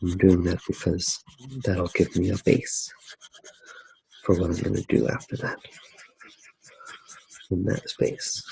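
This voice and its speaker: Very deep, gravelly ASMR man. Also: level tone, slow pace, long pauses, rough recording. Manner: soft-spoken, musing, hesitant. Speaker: ASMR artist. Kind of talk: ASMR, drawing demonstration.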